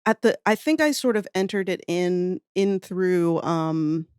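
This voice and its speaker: A woman's voice: lowish voice, lively intonation, measured pace, a little mellow, smooth, dry recording, clean studio sound. A woman chatting casually as a podcast guest, reminiscing in an enthusiastic, rambling, self-deprecating way. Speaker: podcast guest, audio fiction writer.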